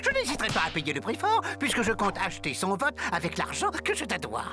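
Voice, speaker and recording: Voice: mid-range voice, wildly swooping pitch, fast talker, over music. An actor voicing a greedy, scheming food-company boss in a cartoon, bossy and wheedling. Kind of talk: cartoon voice acting.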